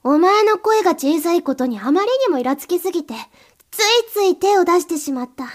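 A woman's voice: very high-pitched, lively intonation, a little fast. A woman playing a cold, domineering mistress in an erotic audio drama, giving curt, contemptuous orders to the listener. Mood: commanding.

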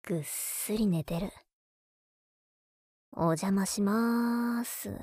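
A woman voicing a clingy, teasing younger brother who dotes on an older sister, speaking softly and intimately in an audio drama. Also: mid-range voice, husky, no echo, clean studio sound. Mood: tender, playful. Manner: soft, intimate, coaxing, sometimes dropping to whispers. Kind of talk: intimate Japanese audio drama, murmured monologue to a sleeping listener.

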